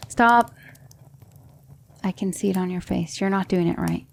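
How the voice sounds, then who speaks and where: A woman playing a patient, composed demon magic teacher in a soft-spoken ASMR roleplay, measured and reassuring. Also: fairly low pitch. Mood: coaxing, instructive. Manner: measured, instructive, reassuring, with hushed breathy dips.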